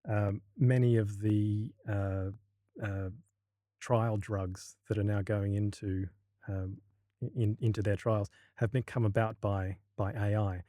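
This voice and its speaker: An Australian man with a low, mellow voice talks through a casual podcast interview. He stumbles and restarts often while he gathers his thoughts. The recording is dry and close. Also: level tone, slow pace, no room sound. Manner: hesitant, many restarts and fillers. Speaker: university lecturer, podcast guest.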